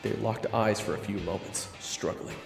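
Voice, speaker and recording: Male narrator, scripted second-person fiction, hushed, suspenseful, heavy vocal fry, faint music. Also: on the low side, fairly even intonation, moderate pace, reverberant, in a large hall.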